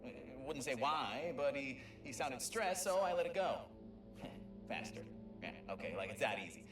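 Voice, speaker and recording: An actor playing an excitable young male Lombax mapmaker who stammers and rambles, in a video game audio log. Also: mid-range voice, a little slow, lightly acted. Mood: excited. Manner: stammering, talkative, self-amused. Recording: echoey and distant, music behind.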